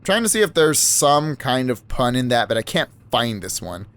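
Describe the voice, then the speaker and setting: A man's voice: medium pitch, sing-song, moderate pace, fairly bright tone, clear, resonant tone. Chatty man narrating a game. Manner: self-deprecating, chatty asides. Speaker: let's play gamer. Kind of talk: casual game commentary.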